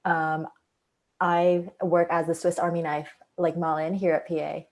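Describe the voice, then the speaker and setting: Low-voiced young woman from Hong Kong. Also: level tone, measured pace, darkish tone. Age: young adult. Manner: explanatory, frequent ums. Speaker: student panelist. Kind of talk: webinar presentation.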